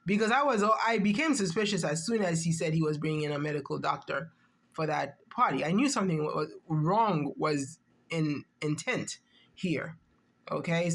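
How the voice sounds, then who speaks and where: A woman giving a reaction-channel commentary monologue, emphatic and rhetorical, often checking in with the listener. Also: low-pitched.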